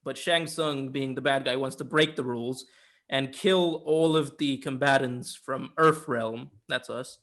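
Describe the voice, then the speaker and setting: A man co-hosting a podcast, explaining and discussing a film in a casual, measured conversation. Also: mid-range voice, steady tone, a bit bright. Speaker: podcast co-host. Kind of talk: casual film review chat.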